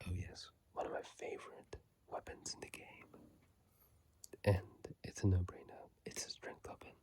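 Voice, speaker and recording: Soft rambling ASMR whisperer. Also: normal speed. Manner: soft unvoiced whisper, rambling, with self-corrections. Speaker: ASMR gaming channel host. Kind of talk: casual whispered ASMR game commentary. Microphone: phone microphone.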